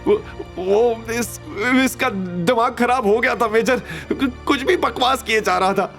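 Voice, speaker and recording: A man's voice: extremely high pitch, measured pace, creaky, fairly bright tone, soft background music, pitched above the performer's own voice, heavily acted. A man voicing a frightened gangster henchman in an audio drama, stammering and pleading for mercy. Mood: terrified stammering. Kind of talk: audio drama character acting.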